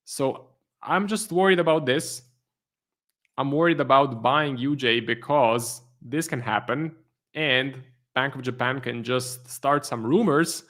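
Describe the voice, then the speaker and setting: Croatian-accented man hosting a livestream. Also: mid-range voice. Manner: relaxed, reads viewer questions aloud and answers them. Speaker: trading livestream host. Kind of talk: casual livestream commentary.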